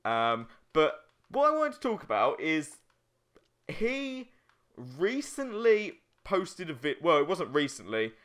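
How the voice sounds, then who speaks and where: A man's voice: a little high, wildly swooping pitch, takes it slowly, a bit bright. A young man co-hosting a casual gaming video, bantering in a jokey way with crude humour. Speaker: let's play co-host.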